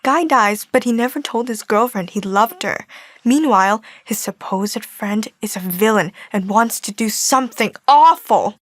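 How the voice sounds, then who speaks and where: A woman's voice: medium pitch, lively intonation, a little slow, no echo. A young woman playing a confident, chatty young singer in an audio drama. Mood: animated, chatty. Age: young adult. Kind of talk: scripted audio drama dialogue.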